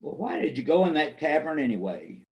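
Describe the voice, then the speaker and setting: Folksy country lawman man acting, slightly high-pitched, plain-talking, readers theater mystery. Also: sing-song, brisk, lo-fi sound. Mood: folksy, skeptical. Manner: plainspoken, countrified turns of phrase. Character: folksy male small-town lawman making an arrest.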